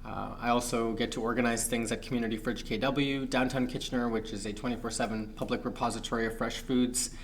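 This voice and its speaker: Young male guest from Pakistan, measured reflective delivery, mid pitch, light background hum. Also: fairly even intonation. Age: young adult. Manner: thoughtful, measured, many fillers. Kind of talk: reflective interview talk.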